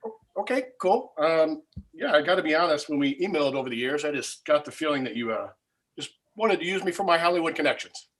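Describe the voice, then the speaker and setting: A man's voice: on the high side, lively intonation, fairly quick, fairly bright tone. A man playing an uneasy, easily offended screenwriter of about forty, stammering awkwardly in a comedy table read. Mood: polite, uneasy.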